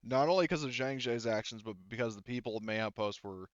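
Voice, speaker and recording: A man voicing a grave, reluctant female military brigadier in a tabletop game, speaking quietly and haltingly. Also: mid-range voice, no echo, highs cut off, natural voice. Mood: grave, bitter.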